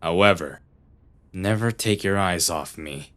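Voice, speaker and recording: A man playing an aloof, eccentric young detective in an anime dub, with a deadpan, theatrical delivery and a weary air. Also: deep voice, a little slow. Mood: curt, dry.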